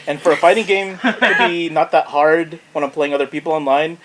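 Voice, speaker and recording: Casual man with higher-pitched voice. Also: steady tone, a little fast, fairly bright tone, a little hiss or hum, poor audio. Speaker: podcast guest panelist. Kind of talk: casual chat.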